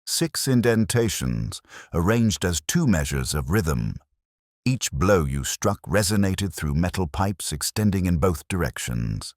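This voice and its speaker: A man reading a scripted first-person detective story in a measured, dramatic storytelling manner. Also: low voice, no echo, clean studio sound. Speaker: audiobook narrator.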